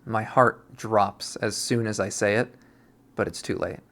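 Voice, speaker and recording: A streamer narrating a visual novel readthrough in an expressive, performative way. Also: mid-range voice, a little slow. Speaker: video game readthrough streamer.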